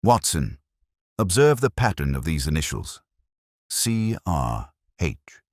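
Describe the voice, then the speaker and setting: A man voicing a keen, commanding detective in an audiobook, speaking in formal, deliberate phrases. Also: a bass voice, big pitch swings, no echo, studio quality, heavily acted. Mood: analytical. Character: male master detective, keen, commanding and coolly analytical.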